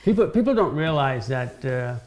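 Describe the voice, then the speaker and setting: A man's voice: medium pitch, big pitch swings, moderate pace. A man in his sixties explaining things informally in a demonstration, often repeating and restarting his phrases. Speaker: guest woodworker explaining cutting boards. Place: home-style kitchen, indoors.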